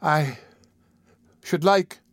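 Man voicing a frail, faltering orphan boy, higher-pitched. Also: steady tone, normal speed, no echo, studio quality, natural voice. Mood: meek, faltering. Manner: faint, faltering, earnest. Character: pale, frail, meek orphan boy, earnest and trembling. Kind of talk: audiobook character acting.

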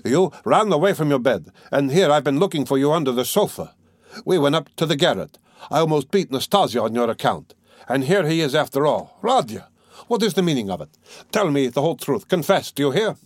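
A man reading an audiobook as a hot-tempered, bossy young man who scolds loudly and fires off demands. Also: mid-range voice, animated, no room sound, studio quality, lightly acted. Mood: angry scolding. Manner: loud scolding, rapid-fire demands. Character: hot-tempered, blustering young male friend, bossy and loud. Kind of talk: dramatised audiobook dialogue.

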